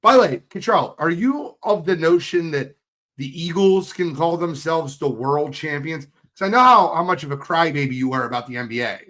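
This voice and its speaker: A hoarse man on a sports podcast, his pitch leaping around as he talks. He teases his co-host and sounds fired up, in a relaxed chat style. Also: normal speed. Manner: animated, teasing, fired up.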